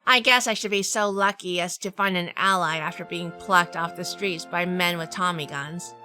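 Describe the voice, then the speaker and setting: A woman's voice: lowish voice, steady tone, normal speed, bright, forceful tone, soft background music. A woman playing a sassy, tough, wisecracking private eye in a noir radio drama. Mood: wry, narrating. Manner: wisecracking, feisty. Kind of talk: noir scripted narration with acted dialogue.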